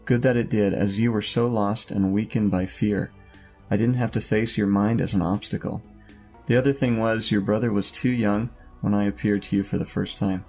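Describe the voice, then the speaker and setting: Man playing a gravelly, enigmatic older mystic. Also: on the low side, fairly even intonation, warm tone, soft background music, close to an everyday voice. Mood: stern, solemn. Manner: solemn, cryptic, measured. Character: enigmatic older robed man, commanding, otherworldly mentor. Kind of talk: audio drama acting.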